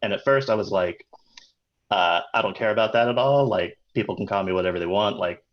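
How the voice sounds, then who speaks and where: A man hosting a casual chat on a video call, hesitant and halting. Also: lowish voice, lo-fi sound. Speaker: host.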